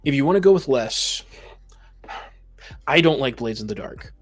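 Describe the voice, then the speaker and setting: A man's voice: mid-range voice, expressive, moderate pace. A man in a casual, opinionated discussion, talking as a podcast host about games.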